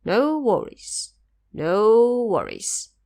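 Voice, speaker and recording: A woman voicing a serene, mighty male Buddha in an audio drama, calm and deliberate in manner. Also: on the high side, exaggerated voice. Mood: calm, assured. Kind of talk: audio drama character acting.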